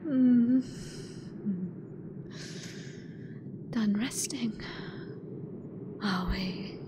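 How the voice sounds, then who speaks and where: A British woman voicing a hateful sentient AI god in a breathy, deliberate whisper for an ASMR horror roleplay. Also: fairly high pitch, background noise. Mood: taunting. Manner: slow, deliberate, menacing, theatrical. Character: hateful sentient female AI god gloating over last human.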